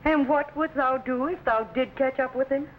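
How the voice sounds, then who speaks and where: A woman's voice: high voice, steady tone, moderate pace, close to an everyday voice. A woman playing a stern, protective frontier mother who scolds in archaic thee-and-thou phrasing. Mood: scolding, cautioning. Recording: muffled, faintly noisy vintage recording.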